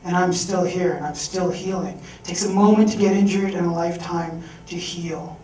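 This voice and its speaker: A man's voice: sits high, steady tone, moderate pace, darkish tone. A young man on stage at a fundraising event, telling a candid personal story and acting out the voices of people he quotes. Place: event hall with audience. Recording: echoey hall recorded from far off.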